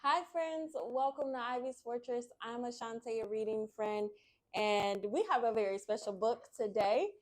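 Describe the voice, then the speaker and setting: A woman's voice: fairly high pitch, unhurried. Woman reading a picture book aloud. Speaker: children's read-aloud host. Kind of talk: picture book read-aloud, friendly address to children.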